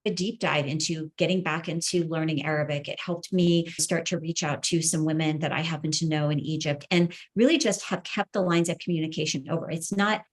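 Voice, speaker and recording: A middle-aged woman with a slightly low voice talks as an interview guest. She is earnest and thoughtful, reflecting on her own experience and ideas in a conversational way. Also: steady tone, a little fast, hardly any pauses. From grew up in the Middle East. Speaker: podcast guest, entrepreneur and coach. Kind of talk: reflective personal storytelling in an interview.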